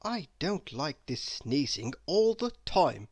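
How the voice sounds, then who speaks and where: Reader doing a puzzled fed-up little storybook guy, wondering, slow and close up. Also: mid-range voice, sing-song, no room sound.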